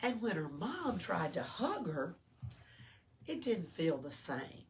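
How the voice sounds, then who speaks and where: An older woman reads aloud to small children. Her pitch moves up and down expressively as she explains things simply and checks in with questions. She is picked up from a distance, with faint noise around her. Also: sing-song, takes it slowly. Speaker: library storytime reader. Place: small-town library. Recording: distant mic with background noise.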